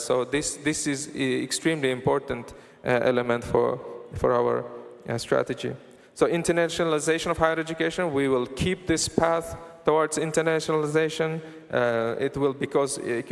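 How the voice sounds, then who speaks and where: A man giving a formal conference presentation as an official, speaking haltingly with many hesitations and broken-off words, recorded in a large hall. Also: mid-range voice. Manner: halting, hesitant delivery with very frequent filled pauses and broken-off words. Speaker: government or university official presenting at a forum. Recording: reverberant hall sound through PA.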